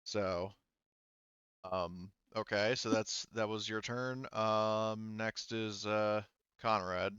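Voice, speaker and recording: A man with a fairly low, crisp voice runs a tabletop game in a casual way. He talks haltingly, working things out aloud. The sound is dry and slightly dulled. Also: fairly even intonation, fairly bright tone, dry recording, muffled recording. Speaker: game master.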